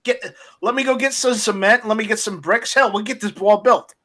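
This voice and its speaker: A man's voice: sits high, fairly quick, bright, forceful tone. A man hosting a casual podcast, joking and rambling with frequent restarts. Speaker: podcast host.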